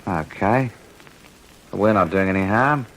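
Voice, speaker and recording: An actor playing a truculent Australian housebreaker and ringleader in an old radio crime drama, curt, slangy and bullying. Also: male voice, sits low, expressive, a little slow, a bit bright, slight background noise, heavily acted, low-quality recording. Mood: wary, brazen. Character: cocky, truculent male housebreaker, ringleader with a gun.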